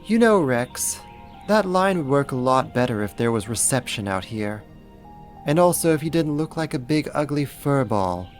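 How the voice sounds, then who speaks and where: A man's voice: mid-range voice, sing-song, normal speed, faint music behind, close to an everyday voice. A man playing a slight, possessive, vain vampire in a roleplay audio drama, sarcastic, haughty and fussy. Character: puny snarky jealous male vampire, possessive and vain.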